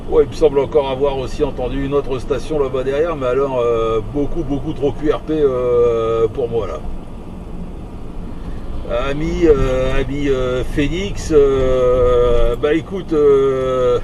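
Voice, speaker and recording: A man chatting casually in on the radio while driving, in a hesitant, halting, conversational way. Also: mid-range voice, fairly even intonation, highs cut off. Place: inside a moving vehicle. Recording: noisy moving vehicle, mic at a distance. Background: loud engine and road noise.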